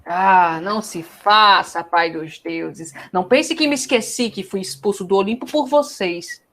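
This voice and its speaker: A woman's voice: mid-range voice, unhurried, fairly bright tone. A woman playing a proud, resentful male smith god, emphatic and defiant, in a mythological comedy audio drama.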